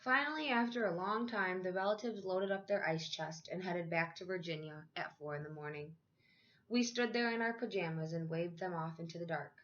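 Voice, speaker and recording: A dull, slightly lo-fi recording carries a woman's voice on the lower side. She reads a children's picture book aloud like a schoolteacher, with measured storytelling phrasing and drawn-out emphasis on key phrases. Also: fairly bright tone, slight background noise. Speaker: elementary school teacher reading aloud.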